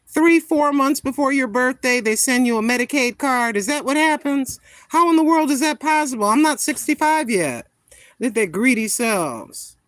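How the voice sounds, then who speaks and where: A woman in her sixties chatting casually with viewers on a live stream, greeting them by name and talking her thoughts through out loud. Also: high voice, expressive, normal speed, no echo. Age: 60s. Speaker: vlogger greeting live chat.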